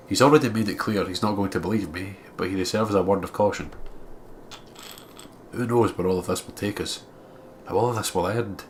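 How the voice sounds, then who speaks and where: Low, nasal, congested man. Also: a little hiss or hum. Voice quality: nasal, blocked nose. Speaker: guest narrator on a let's play. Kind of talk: reading visual novel narration aloud.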